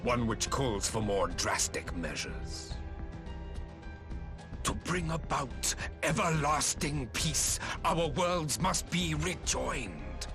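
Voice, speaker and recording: Slow, imperious emperor over music. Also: male voice, medium pitch, big pitch swings. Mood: grave, condemning, then exalted, rallying. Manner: grandiose, measured, archaic oratory. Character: imperious aging male emperor, grandiose, cold, zealous. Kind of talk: dramatic video game cutscene monologue.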